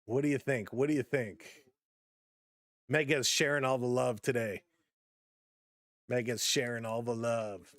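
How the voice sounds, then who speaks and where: A man hosting a casual live-stream baseball play-by-play, chatty and upbeat as he greets viewers, his voice slightly hoarse from overuse. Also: mid-range voice, steady tone, measured pace, pauses often, no echo. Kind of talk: casual play-by-play with chat reactions.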